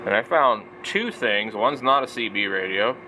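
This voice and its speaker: Low-voiced man rambling over background noise. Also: animated, normal speed. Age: 40s. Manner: rambling, thinking aloud. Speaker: vlogger, radio hobbyist. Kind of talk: casual off-the-cuff product commentary.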